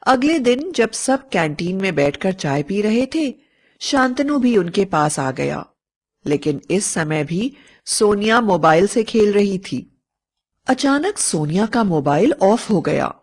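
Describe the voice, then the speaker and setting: A woman's voice: medium pitch, sing-song, slow pace, darkish tone, slightly rough. A woman narrating a scripted story as a storyteller. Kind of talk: scripted fairy-tale narration.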